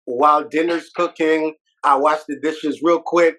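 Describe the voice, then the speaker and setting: A man's voice: fairly high pitch, steady tone, a little slow, bright, forceful tone, poor audio. A middle-aged man from Haiti with a Haitian accent tinged with New York City, hosting a casual interview with jokey, self-deprecating storytelling. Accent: Haitian with New York City mixed in. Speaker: podcast host.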